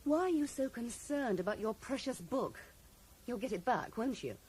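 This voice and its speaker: A young woman convalescing in an eighties radio drama, played by an actress. She is wistful yet defensive, with a mellow tone and widely moving intonation. The old broadcast recording is faintly noisy. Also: fairly high pitch, lively intonation, moderate pace, lightly acted. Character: loyal, defensive young woman in love, recovering in hospital. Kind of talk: 1980s radio drama acting.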